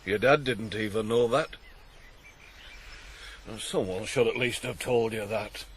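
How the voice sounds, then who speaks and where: A man's voice: fairly low pitch, slow pace, a little hiss or hum. A man playing a gossipy, offhand neighbour in a radio drama. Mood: dismissive.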